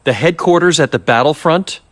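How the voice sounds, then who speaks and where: An actor playing a calm, scheming research director in a video game, with measured, condescending politeness and slyly menacing manner. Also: male voice, on the high side, close to an everyday voice. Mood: sly, composed. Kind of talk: English video game voice acting.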